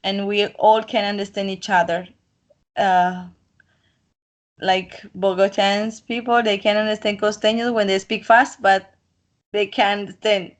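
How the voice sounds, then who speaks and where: Talkative, rambling Colombian-accented woman, native Spanish speaker, confident interviewee, mid pitch. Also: little pitch movement, measured pace. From Colombian coast. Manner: talkative, rambling, self-assured. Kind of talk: casual chat.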